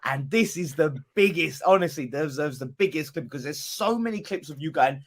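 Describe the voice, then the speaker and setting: A man bantering and teasing, his pitch bouncing all over as he acts out other people. He is slightly higher voiced than most men and sounds animated. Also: moderate pace. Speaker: podcast host. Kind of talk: casual banter.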